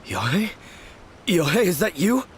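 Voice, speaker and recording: A man's voice: high voice, sing-song, measured pace, slight background noise. A man voicing a weak, timid, self-pitying young swordsman in a video game. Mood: dazed, frightened.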